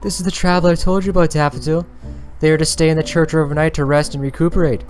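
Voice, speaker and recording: A man's voice: on the high side, fairly even intonation, fast, darkish tone, soft background music, voice pushed up, natural voice. A man voicing a kind, naive young nun who speaks earnestly and pleadingly, in a visual novel read-aloud. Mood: welcoming.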